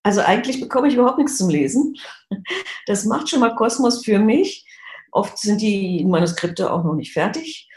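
A middle-aged woman, an illustrator, answering interview questions over a video call in a relaxed, matter-of-fact way. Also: lowish voice. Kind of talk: casual interview. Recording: echoey video call audio.

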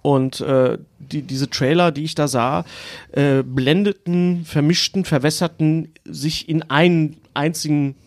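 A man's voice: on the high side, steady tone, a little slow, no echo, clean studio sound. A man chatting casually as a film podcast host, emphatic and repeating himself to make a point.

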